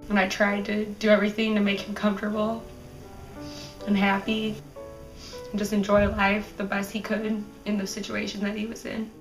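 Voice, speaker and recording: A woman in her twenties, recalling her family in a soft, earnest documentary interview. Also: mid-range voice, monotone, normal speed. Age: 20s. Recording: roomy, distant mic with music.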